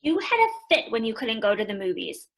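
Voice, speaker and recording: Resentful teen writer, high sharp voice, swooping delivery, accusing, radio drama. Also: female voice, big pitch swings, fairly quick. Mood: indignant. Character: Joe, hot-tempered resentful teenage sister and young writer. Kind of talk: radio play acting.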